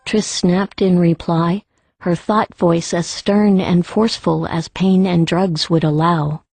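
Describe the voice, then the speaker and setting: Low, mellow, unhurried female narrator. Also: steady tone, creaky, no echo, faint music behind. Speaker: audiobook narrator. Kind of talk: scripted storytelling narration.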